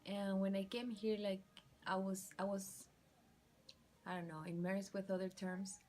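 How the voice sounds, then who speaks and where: A woman's voice: medium pitch, level tone, measured pace, a little mellow. A young woman from Mexico, a college engineering student, speaking in an interview and searching for words. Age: young adult. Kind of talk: casual interview answers.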